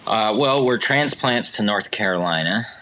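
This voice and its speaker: A man's voice: on the low side, lively intonation, normal speed, sharp tone, rough recording. A man from New Hampshire, a guest on a podcast, talking casually and hesitantly with many fillers. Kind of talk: casual interview chat.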